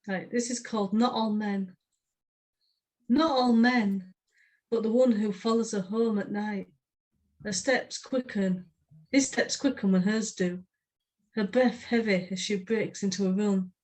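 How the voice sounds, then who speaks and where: A woman's voice: mid-range voice, steady tone, measured pace, pauses often, darkish tone, poor audio. A woman, speaking plainly as a podcast co-host who chats and reads her poetry. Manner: brief, plain-spoken. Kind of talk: casual podcast chat and poetry reading.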